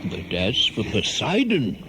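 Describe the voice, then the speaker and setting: An actor playing a measured storyteller, narrating an epic in a radio play. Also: male voice, fairly low pitch, wildly swooping pitch, background noise, heavily acted.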